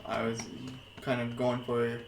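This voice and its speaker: A man's voice: medium pitch, monotone, a little slow. A man playing a tabletop game, hesitant and asking about the rules. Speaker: player. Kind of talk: casual tabletop play. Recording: echoey room, faint noise.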